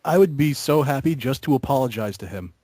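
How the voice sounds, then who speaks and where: A man's voice: mid-range voice, expressive, brisk, mellow, no room sound, studio quality. A man chatting casually about video games in a hesitant, rambling way. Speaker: member of a gaming video group.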